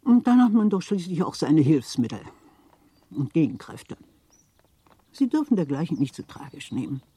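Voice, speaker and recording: An actress playing a worldly, gossipy middle-aged widow of a Berlin official in a German radio play, theatrical, insinuating and chatty. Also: female voice, low-pitched, very animated, moderate pace, warm tone, husky, no echo, full character voice. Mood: knowing. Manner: theatrical, insinuating, chatty, repeats words for emphasis.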